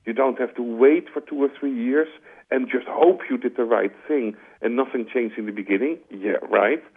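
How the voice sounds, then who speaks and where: A man's voice: medium pitch, normal speed, slightly rough. A man with a Dutch accent answering interview questions, explaining his points conversationally with analogies and "like" fillers. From Netherlands. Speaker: interview guest, corporate strategy executive. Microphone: telephone. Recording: tinny phone line.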